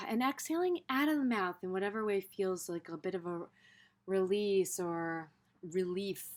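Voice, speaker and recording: A woman leading an unscripted guided meditation in a gentle, unhurried manner, pausing and letting phrases trail off softly. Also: mid-range voice.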